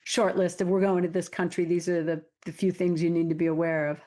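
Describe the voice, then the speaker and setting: A woman's voice: on the low side, level tone, brisk, warm tone. Woman podcast host, chatty, jumps in with lots of oh my gosh and yeahs. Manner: chatty, enthusiastic interjections. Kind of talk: casual interview conversation.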